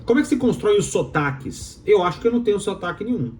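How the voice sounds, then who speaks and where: A man's voice: high-pitched, animated, quick pace, a little mellow, slight background noise. Emphatic Mineiro man making his case. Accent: Mineiro Brazilian Portuguese. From Minas Gerais, Brazil. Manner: emphatic, rhetorical, repeats key phrases. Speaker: doctoral student in communication giving his opinion. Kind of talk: opinionated explainer monologue to viewers.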